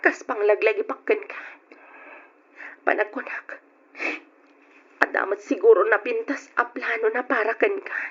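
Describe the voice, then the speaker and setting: A woman playing a young mother in a radio drama, dramatic in delivery, with a breathy voice. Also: on the high side, steady tone, measured pace, long pauses, a bit bright. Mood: sad, resolute. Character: hurt but forgiving young mother, firm and dignified. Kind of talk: old-style radio drama acting. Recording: dull lo-fi radio drama audio.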